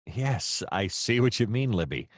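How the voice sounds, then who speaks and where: Man playing gallant noir detective, deep voice, animated melody, formal wry narration, dry close sound. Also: measured pace, no room sound. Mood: earnest, gallant. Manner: dramatic, wry, formal phrasing. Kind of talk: noir radio play narration and dialogue.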